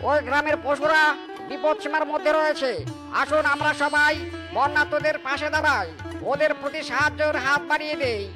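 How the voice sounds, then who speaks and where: A kind young villager from an animal cartoon , voiced by a woman, high-pitched and eager. The delivery is caring, earnest and declamatory, with music clearly playing underneath. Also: steady tone, fairly quick, natural voice. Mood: earnest announcing. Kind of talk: cartoon voice acting. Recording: music behind the voice.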